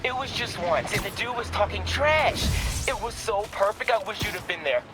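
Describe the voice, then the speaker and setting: An actor playing an eager, boyish teenage student hero in a video game, casual and cheerful. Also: male voice, sits high, animated, normal speed, over music. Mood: sheepish, excited.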